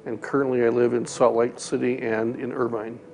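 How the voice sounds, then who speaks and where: A man's voice: medium pitch, little pitch movement, fairly quick, vocal fry, darkish tone, slight background noise, rough recording. A man from Utah recalling his past in an interview, hesitant and repeating words. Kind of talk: reflective interview testimony.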